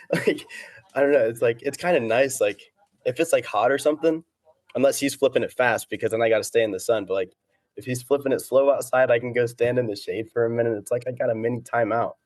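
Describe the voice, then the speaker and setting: A man's voice: medium pitch, a little fast, a little mellow, no room sound. A man chatting casually as a guest, easygoing and slangy, rambling as he goes. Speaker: interview guest, competitive cornhole player. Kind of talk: casual chat.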